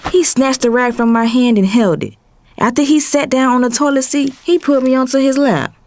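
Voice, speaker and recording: An actress playing a protective, exasperated young woman in an audio drama, speaking in an expressive, emotive, slangy way as she narrates family turmoil. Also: high-pitched, normal speed, no echo. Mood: tense storytelling.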